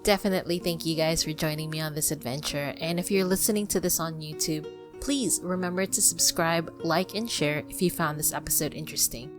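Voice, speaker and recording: A woman's voice: sits low, fairly quick, music in the background. A woman hosting a podcast, talking informally about the history of a classic book's illustrations and speaking directly to her listeners. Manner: chatty, friendly, addresses listeners directly. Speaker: podcast host.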